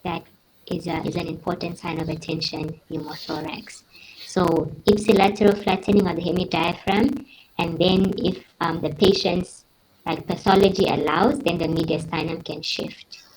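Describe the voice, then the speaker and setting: A woman's voice: on the low side, flat delivery, normal speed, darkish tone, a little hiss or hum, rough recording. A woman giving a medical teaching presentation, explaining in a careful and somewhat hesitant way.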